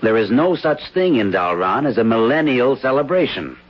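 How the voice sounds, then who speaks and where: An actor in a 1970s radio drama playing a calm government investigator who explains things in a measured way. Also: male voice, mid-range voice. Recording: dull 1970s radio broadcast.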